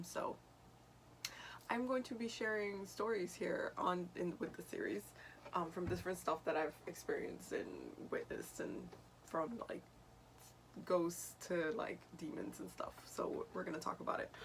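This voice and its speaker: A young woman with a lowish voice that is raspy after just waking up. She tells a story to camera in a loose, chatty way, circling back and correcting herself as she goes. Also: fairly bright tone, slight background noise, poor audio. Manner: chatty, meandering, frequent self-corrections. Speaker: vlogger and spiritual medium.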